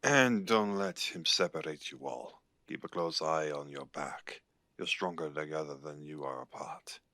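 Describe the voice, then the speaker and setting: A man acts out a guarded Irish werewolf alpha for an audio drama. His voice sits deep in the register and is recorded dry. He chooses his words deliberately and gravely, like a seasoned pack leader. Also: bright, forceful tone, dry recording, exaggerated voice. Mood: stern, advising. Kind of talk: audio roleplay acting.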